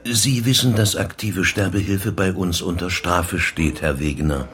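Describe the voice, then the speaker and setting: An actor playing a suspicious, dogged police inspector in a German radio crime drama, asking formal, pointed questions. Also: male voice, low voice, unhurried, a bit bright, soft background music. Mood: stern, probing.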